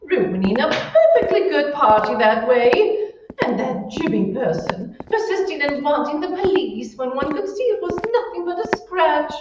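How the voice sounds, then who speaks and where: A woman's voice: sits high, big pitch swings, a little slow, background noise. A woman playing a haughty, bossy married hostess in an old-time radio thriller, scolding in a theatrical, indignant way. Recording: echoey hall, distant mic, muffled.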